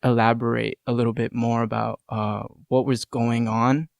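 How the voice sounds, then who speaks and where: Creaky-voiced man interviewing on a podcast. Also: medium pitch, level tone, normal speed, dry recording, studio quality.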